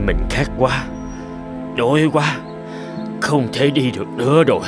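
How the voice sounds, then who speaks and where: An actor playing a greedy, foolish poor peasant in a cartoon folk tale, whining and grumbling. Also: male voice, mid-range voice, slow, music in the background, natural voice. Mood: exhausted, despairing.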